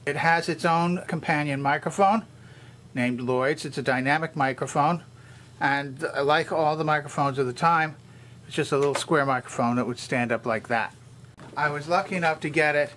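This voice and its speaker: A man's voice: on the high side, a bit bright, slight background noise. Older man explaining gear step by step. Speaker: vintage electronics repairer and demonstrator. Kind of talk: explanatory hands-on product tour.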